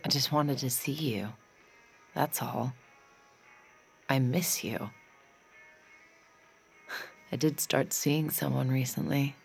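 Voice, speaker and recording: An actress playing a guarded, wry adult daughter in a naturalistic audio drama, speaking in an understated, conversational way. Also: female voice, a bass voice, fairly even intonation, slow pace, vocal fry, long pauses, faint music behind, full character voice. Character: guarded, wry adult daughter, a recovering addict longing for closeness. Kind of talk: naturalistic audio drama acting with confiding narration.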